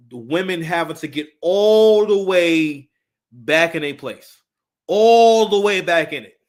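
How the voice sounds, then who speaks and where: A man in his thirties giving casual, unscripted live commentary, talking emphatically, repeating his phrases and swearing freely. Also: fairly high pitch. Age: 30s.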